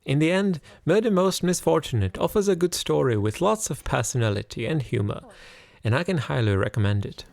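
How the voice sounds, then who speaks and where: A man's voice: fairly high pitch, animated, brisk, creaky, darkish tone. A man narrating a scripted game review as its host.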